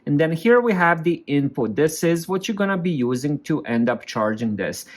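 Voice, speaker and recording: A man's voice: a little high, lively intonation, normal speed. A man giving a casual hands-on product review, explaining things plainly step by step.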